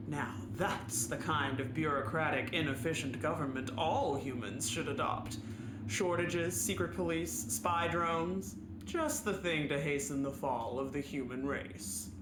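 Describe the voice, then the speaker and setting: A gruff, pompous warhorse, played by a woman, speaks low in an echoey space. The manner is deliberate, militant and superior, as in a radio comedy. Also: background noise. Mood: smug bluster. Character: gruff, militant warhorse, self-important elder twin. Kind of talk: comic radio play acting.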